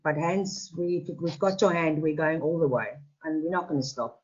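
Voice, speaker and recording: A woman's voice: low voice, moderate pace, husky, muffled recording. A woman, a radio presenter, chatting and reassuring a caller in a supportive way. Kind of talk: phone chat.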